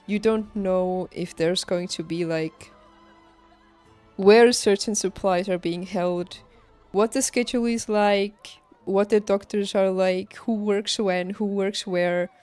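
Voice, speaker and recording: A young adult woman chatting casually on a livestream, telling personal stories in an emphatic, animated and informal way. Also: mid-range voice, takes it slowly. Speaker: livestreamer, former nurse. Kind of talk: casual stream chat, personal storytelling. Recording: background music behind close dry voice. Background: music.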